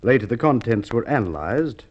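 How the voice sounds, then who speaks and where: A man acting a courteous, methodical police inspector in an old radio crime drama, with polite, measured, probing speech. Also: mid-range voice, sing-song. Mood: confident accusation. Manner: polite, measured, hesitant probing with formal phrasing. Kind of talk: Golden Age Australian radio drama acting. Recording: muffled, noisy old radio recording with clicks.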